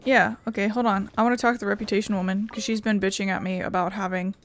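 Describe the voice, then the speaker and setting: A woman's voice: medium pitch, flat delivery, quick pace, slight background noise. A woman giving casual, chatty commentary as she plays a game, thinking aloud and swearing now and then. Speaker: gaming video host.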